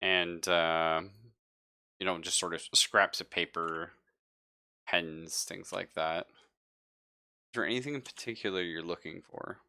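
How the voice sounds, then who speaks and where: Bright, low-voiced man running a game. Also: fairly even intonation, gravelly, smooth. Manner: halting, informal, thinks aloud while describing. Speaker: game master. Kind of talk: improvised narration of a tabletop roleplaying game.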